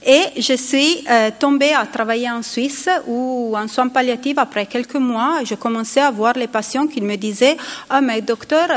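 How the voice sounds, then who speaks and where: A woman in her forties, a palliative care doctor with an Italian accent, giving a conference talk at a podium microphone, hesitating as she searches for words in her second language. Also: fairly high pitch. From Italy. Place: large conference hall. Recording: long hall reverb.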